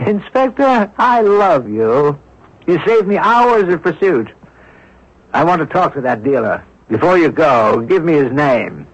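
An actor playing a brisk, self-assured amateur sleuth in a radio drama, assertive and inquisitive. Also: male voice, on the high side, very animated, breathy edge, full character voice. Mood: confident. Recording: dull lo-fi radio recording.

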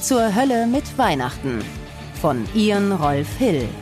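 A woman's voice: medium pitch, very animated, slow pace, darkish tone. A woman reading scripted closing credits as an announcer. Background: music.

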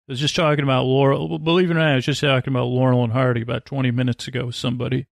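A man's voice: mid-range voice, gravelly, no room sound, studio quality. A man hosting a bedtime podcast in a meandering, stammering monologue, with a mumbling, mush-mouthed delivery. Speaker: podcast host. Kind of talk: rambling, tangent-filled bedtime podcast monologue.

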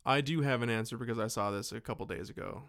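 A man's voice: medium pitch, fast, vocal fry, fairly bright tone, no echo. Man chatting casually, close dry recording. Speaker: podcast co-host. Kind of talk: casual movie chat.

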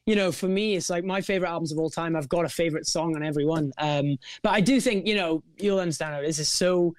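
High-voiced man, earnest and reflective. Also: fast, clean tone, no echo. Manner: earnest, reflective, says "you know" and "man" a lot. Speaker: vocalist and songwriter guest. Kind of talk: casual podcast conversation.

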